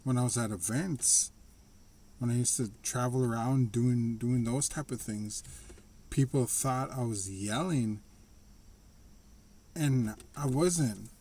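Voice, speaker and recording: A man talking casually to the camera in a slightly raspy voice, rambling and restarting his sentences. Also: mid-range voice, dark tone. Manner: rambling, halting, with frequent restarts and laughs. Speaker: vlogger. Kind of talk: casual personal monologue.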